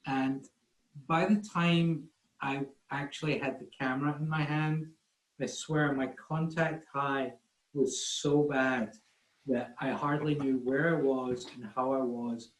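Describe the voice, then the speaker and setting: A man's voice: medium pitch, steady tone, takes it slowly. Scottish man telling stories, roomy sound. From Scotland. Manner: rambling, self-deprecating, many restarts. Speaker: guest photographer. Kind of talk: casual storytelling in an online talk. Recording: roomy echo.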